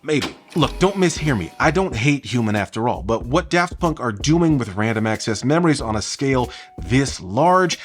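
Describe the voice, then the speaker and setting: A man's voice: medium pitch, very animated, moderate pace, few pauses, faint music behind. A man giving an enthusiastic, conversational video essay commentary, explaining things with emphasis as host.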